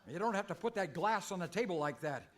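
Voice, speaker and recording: Radio western lead, male actor: earnest, put-upon American masked hero, slightly raised pitch, comic timing. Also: expressive, fast talker, slight background noise. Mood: exasperated. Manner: earnest, long-suffering. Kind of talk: comic old-time radio western acting.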